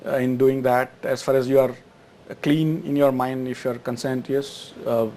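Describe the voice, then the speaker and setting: A man's voice: mid-range voice, level tone, normal speed, a little mellow, a little hiss or hum. A middle-aged man from Gujarat, answering interview questions with many fillers and restarts. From Gujarat, India. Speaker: guest, exchange chief executive.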